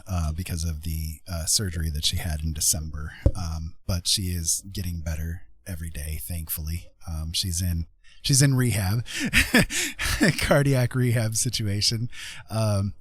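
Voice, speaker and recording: A man co-hosting a livestream, chatting casually with an informal, halting delivery. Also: low-pitched, husky, dry recording. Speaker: livestream co-host.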